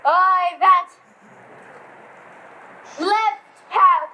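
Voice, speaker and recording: A woman's voice: very high-pitched, extremely slow, heavy background noise, exaggerated voice. A woman playing Pyramus, wailing tragic lover. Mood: anguished. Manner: melodramatic wailing and shouting. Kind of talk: amateur Shakespeare stage acting.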